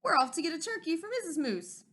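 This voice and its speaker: A woman's voice: extremely high pitch, sing-song, fast, a bit bright, pitched above the performer's own voice, exaggerated voice. A woman voicing a kindly, eager male moose from a children's storybook, in an earnest, hearty and emphatic manner. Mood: friendly.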